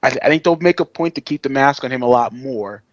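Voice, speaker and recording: Male co-host, mid-pitched voice, enthusiastic slangy fan chat, dry close recording. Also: quick pace, no echo, lo-fi sound. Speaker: podcast co-host. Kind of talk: casual fan podcast chat.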